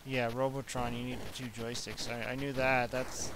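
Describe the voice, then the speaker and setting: A man's voice: medium pitch, flat delivery, normal speed, noisy background. A Canadian man with a Canadian accent, hosting a retro gaming stream in casual, rambling chat. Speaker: retro gaming channel host.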